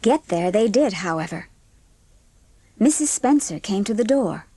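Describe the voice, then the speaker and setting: A woman's voice: mid-range voice, animated, measured pace, warm tone, breathy edge, no room sound. A woman giving scripted narration for a dramatised audiobook.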